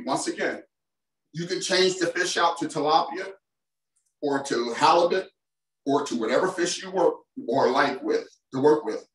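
Chatty chef in a roomy kitchen. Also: male voice, fairly high pitch, fairly bright tone. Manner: chatty, enthusiastic, talks straight to viewers. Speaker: chef presenting a cooking demo. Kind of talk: casual cooking demonstration. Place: home kitchen, indoors. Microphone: far camera mic. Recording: roomy kitchen sound, mic at a distance.

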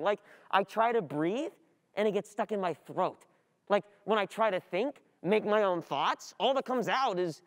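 Anxious, distracted young student, performed by an actor, mid pitch, halting and repetitive, radio play monologue. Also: lightly acted. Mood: anxious, overwhelmed.

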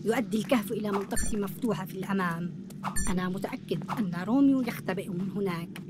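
A woman's voice: medium pitch, quick pace, lightly acted. A woman voicing a confident young boy superhero who leads the team in a cartoon game. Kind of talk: cartoon video game acting. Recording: very loud game noise behind.

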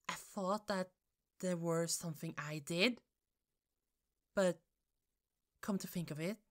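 A woman playing a hurt, fed-up office coworker in an ASMR roleplay, halting and pleading, with pauses and sighs. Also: sits low. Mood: frustrated, fed up. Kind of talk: ASMR roleplay, slow intimate monologue.

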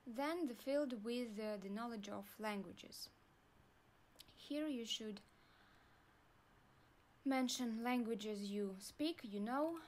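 Slow Russian-accented woman guiding a form. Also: on the high side, a little mellow. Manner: slow, deliberate, pauses while clicking. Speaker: university staff guide. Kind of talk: screen-recorded tutorial walkthrough.

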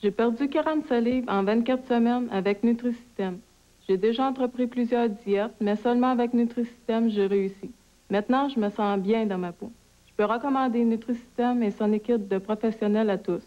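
A woman in her thirties with a Québécois accent giving a calm, sincere diet testimonial in a studio. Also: a little high, normal speed. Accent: Québécois French. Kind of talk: scripted testimonial. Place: studio against a plain backdrop. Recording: dull old TV audio.

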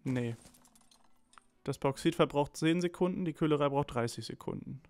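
A man's voice: a little high, moderate pace, a little mellow. A man casually chatting while playing a strategy game, thinking aloud with many restarts, a little under the weather. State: slightly ill, a bit hoarse. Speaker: let's play co-host. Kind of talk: casual gameplay chat.

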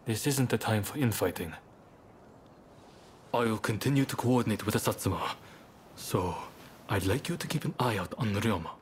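An actor playing a composed, diplomatic young samurai leader in a video game cutscene, speaking in a measured, polite, thoughtful way. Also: male voice, lowish voice, a little hiss or hum, natural voice. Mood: earnest, troubled.

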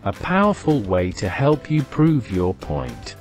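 Synthetic male voice reading with swinging pitch. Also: huge pitch swings, a little slow, darkish tone, soft background music. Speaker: text-to-speech reader. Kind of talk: synthetic document read-aloud.

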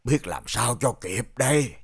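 Kindly overworked cartoon shoemaker, medium pitch. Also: male voice, animated, measured pace, breathy edge. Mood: weary, worried. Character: poor, kindly, overworked older male shoemaker. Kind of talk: children's animated fairy tale acting. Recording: music under the voice. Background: music.

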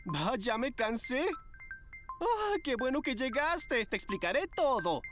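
Flamboyant, high-pitched cartoon agent. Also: male voice, big pitch swings, slow, music in the background, heavily acted. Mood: excited. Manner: theatrical, gushing. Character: flamboyant, excitable young male modeling agent. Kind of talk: animated cartoon dubbing.

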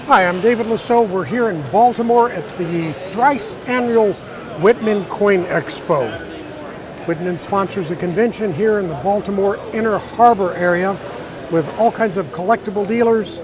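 A man's voice: sits high, normal speed. Upbeat male host in busy convention hall. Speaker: interviewer and host. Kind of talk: convention walkthrough narration and interview. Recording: crowded convention hall noise. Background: crowd chatter.

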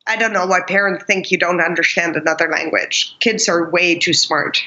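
A woman's voice: lowish voice, a little slow, bright, forceful tone, dull audio. A middle-aged woman, a guest telling her life story in an earnest, reflective way. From Pakistan. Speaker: guest, kindness speaker. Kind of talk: conversational interview.

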